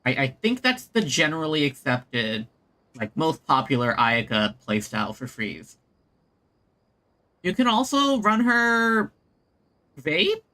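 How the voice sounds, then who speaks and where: A man chatting casually as he streams a game, halting as he thinks aloud. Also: fairly high pitch, big pitch swings. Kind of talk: casual chat while playing a game. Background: soft game music.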